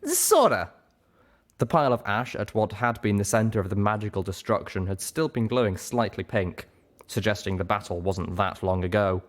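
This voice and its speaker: A man with a fairly low voice reads fiction aloud in a first-person narrative style. He works as the storyteller, carrying scenes and description. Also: fairly even intonation, fairly quick. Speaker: audiobook reader.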